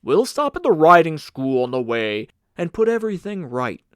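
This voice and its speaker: A man reading an audiobook as a stout, kindly gentleman who is eager to please, coaxing and jovial. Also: fairly high pitch, sing-song, normal speed, smooth, no echo, studio quality. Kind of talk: audiobook character dialogue.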